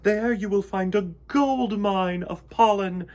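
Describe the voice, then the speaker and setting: A man's voice: high voice, slow, darkish tone, voice pushed up. A man voicing an imperious, fussy queen bee for a storybook, declamatory and scolding. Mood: grandly announcing.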